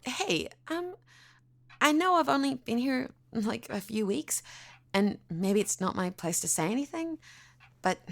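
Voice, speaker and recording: A woman's voice: on the high side, vocal fry, heavily acted. An actress with a New Zealand accent playing a frank, friendly woman survivalist researcher. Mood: earnest.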